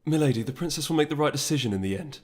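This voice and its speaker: A man's voice: fairly high pitch, animated, very fast, darkish tone, voice pushed up. A man voicing a loyal, anxious male pony aide, deferential and hesitant, in a fantasy audiobook. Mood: worried. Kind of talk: dramatic audiobook character voice.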